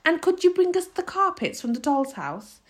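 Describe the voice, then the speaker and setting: A woman's voice: very high-pitched, sing-song, moderate pace, pitched above the performer's own voice. A woman voicing an anxious, easily flustered tiny wife in a storybook, fretting and moaning her worried questions.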